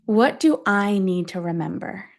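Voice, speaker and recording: Woman, mid-range pitch, guided affirmation meditation, deliberate short phrases, long pauses. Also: lively intonation, vocal fry. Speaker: guide of a self-affirmation tapping session.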